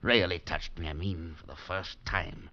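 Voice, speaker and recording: An actor playing a haunted, terrified older professor in a 1940s radio drama, halting and breaking off his words in dread. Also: sits low, bright, forceful tone, exaggerated voice. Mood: shaken, dread. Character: haunted aging male professor, terrified and shaken. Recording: dull old radio broadcast.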